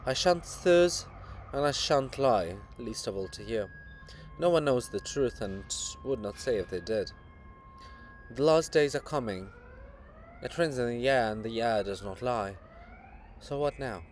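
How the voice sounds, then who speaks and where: A man's voice: mid-range voice, animated, measured pace, a little hiss or hum, lightly acted. A man voicing a sly, grandiloquent poet monument, teasing and persuasive, with emphatic theatrical delivery in a dramatic reading. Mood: urgent, fervent.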